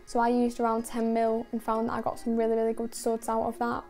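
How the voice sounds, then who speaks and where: A young woman giving a calm, measured voice-over about washing a car, with many casual fillers. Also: on the high side, faint music behind. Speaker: car detailing channel host. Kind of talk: voice-over narration of a car wash.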